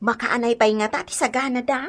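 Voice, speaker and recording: A woman's voice: on the high side, steady tone, fairly quick, natural voice. A woman playing a giggly, teasing young woman in a comedic radio drama. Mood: curious, excited. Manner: giggly, exclaiming. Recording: noisy track with clicks.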